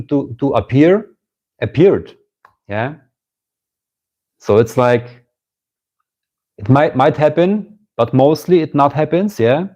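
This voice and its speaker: A man with a German accent speaks in a mellow, low-key voice, musing on spiritual ideas during a live stream. He talks slowly and circles back on his phrases, pausing to greet viewers as they arrive. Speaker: spiritual teacher.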